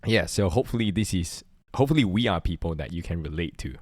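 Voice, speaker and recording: A man's voice: sits low, lively intonation, fast talker. A middle-aged man from Singapore with a Singaporean accent, co-hosting a worship podcast in casual, earnest chat. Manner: earnest, thinks aloud with restarts. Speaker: podcast co-host.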